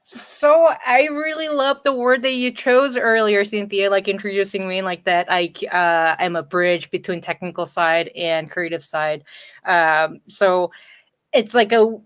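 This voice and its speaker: A woman's voice: mid-range voice, unhurried, clean tone, no echo. Chatty woman, native Korean speaker. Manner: chatty, lots of "like" fillers, laughs often. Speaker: guest, entertainment design coordinator. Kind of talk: casual interview chat.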